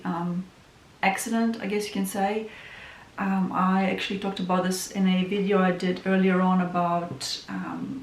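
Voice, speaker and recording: A woman from Romania with a Romanian accent, a tarot reader introducing herself in a casual, soft, musing way with lots of ums. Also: medium pitch. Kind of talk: casual self-introduction vlog. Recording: echoey room with faint hiss.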